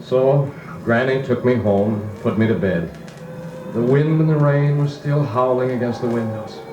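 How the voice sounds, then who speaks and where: A man's voice: mid-range voice, normal speed, dull audio, lightly acted. An actor playing an earnest country man who narrates his boyhood dramatically in a 1940s radio drama. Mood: sorrowful. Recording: roomy 1940s record. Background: music.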